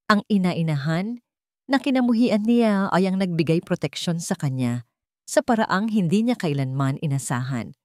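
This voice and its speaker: Woman, Filipino accent, lower-pitched voice, dramatic story narration, deliberate emotive phrasing, close dry recording. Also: darkish tone, dry recording.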